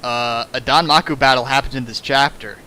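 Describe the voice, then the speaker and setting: A man's voice: mid-range voice, fairly even intonation, brisk, sharp tone, a little hiss or hum. A man casually riffing on a text he reads aloud as host, in a sarcastic, mocking manner. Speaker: host of a fan fiction dramatic reading.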